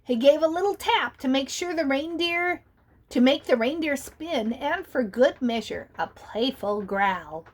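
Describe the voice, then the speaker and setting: A woman's voice: high voice, lively intonation, unhurried. A woman reading a picture book aloud to young children, playful and asking her listeners questions.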